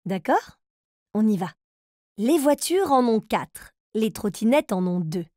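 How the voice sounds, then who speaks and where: High-voiced, encouraging riddle leader. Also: female voice, very animated, measured pace, mellow, slight background noise. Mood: encouraging, playful. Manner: encouraging, clear simple phrasing for toddlers. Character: kind, encouraging grown-up woman leading riddles for little children. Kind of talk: children's cartoon riddle game narration.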